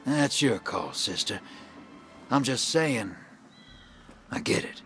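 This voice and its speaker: A man's voice: mid-range voice, lively intonation, slow pace, a bit bright, soft background music. An actor playing a worldly, sly male drifter in a video game, with a folksy, streetwise drawl. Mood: wry, reflective. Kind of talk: video game dialogue acting.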